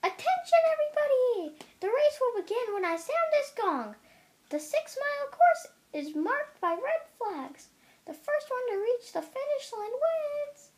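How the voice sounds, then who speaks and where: A child reader plays a storybook rooster announcing a race. The voice is pushed very high and swoops as it loudly proclaims the rules. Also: very animated, measured pace, exaggerated voice. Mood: excited proclaiming. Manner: loud, proclaiming.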